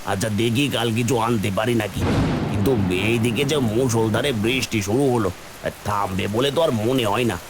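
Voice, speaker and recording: A man playing a gentle, weary poor village father, plain-spoken and affectionate, in a Bengali cartoon fairy tale. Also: medium pitch, fairly quick, crowd noise, lightly acted. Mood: worried. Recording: faint rain noise behind.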